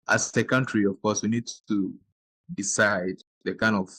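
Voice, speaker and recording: A man's voice: fairly low pitch, fairly even intonation, moderate pace, sharp tone, slightly rough, no echo. A man from Ghana, hosting a podcast and explaining his points earnestly. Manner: earnest, explanatory, with brief backchannel agreements. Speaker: podcast host. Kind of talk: podcast conversation.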